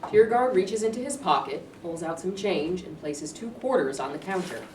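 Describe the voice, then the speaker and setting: A woman reads stage directions in a plain, measured way. Her somewhat low voice is captured from a distance in a reverberant room, and clear background noise runs under it. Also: expressive. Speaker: narrator reading stage directions.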